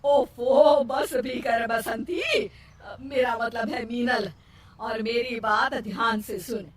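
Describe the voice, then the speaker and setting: A woman's voice: fairly high pitch, steady tone, slow. A woman voicing an exasperated, bossy but homely older mother-in-law in a cartoon story, given to scolding exclamations. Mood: fed up. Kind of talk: cartoon moral story acting. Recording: roomy, distant mic, faint noise.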